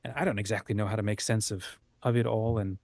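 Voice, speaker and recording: A man's voice: lowish voice, fairly even intonation, fast talker, darkish tone, no echo, studio quality. Rambling man hosting a casual chat. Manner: rambling, thinking aloud with hedged half-sentences. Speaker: host. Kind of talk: casual chat, interviewing a guest.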